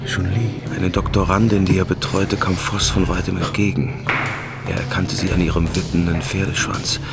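A man narrating a crime thriller audio drama as a sober, suspenseful storyteller with a gravelly voice. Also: sits low, little pitch movement, moderate pace. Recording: loud music under the voice. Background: loud music.

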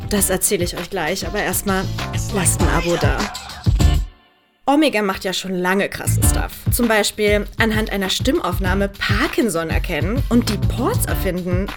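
A woman's voice: mid-range voice, close to an everyday voice. A woman playing a starstruck young influencer doing a social media video, slangy, adoring and full of hype. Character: gushing young female influencer, starstruck fan. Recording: loud music bed under voice. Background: music.

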